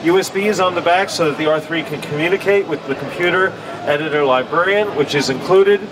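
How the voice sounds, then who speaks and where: A man's voice: a little high, brisk, background noise. A middle-aged man giving a product demonstration at a trade show booth over a headset mic. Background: synthesizer sounds.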